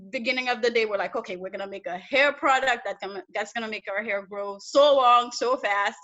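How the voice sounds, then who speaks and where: A woman's voice: fairly high pitch, brisk. Young woman from Haiti, lo-fi video call. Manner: animated storyteller, many fillers. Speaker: research data analyst, guest. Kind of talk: casual interview chat. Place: small indoor room on a video call. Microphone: laptop webcam mic on video call. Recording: dull lo-fi video call audio.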